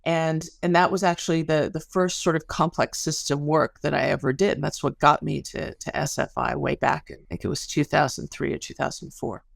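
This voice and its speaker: A woman's voice: sits low, steady tone, brisk, gravelly, few pauses, no room sound, studio quality. A middle-aged American woman explaining her research as an interview guest in an informal, articulate, storytelling way. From Chicago area, United States.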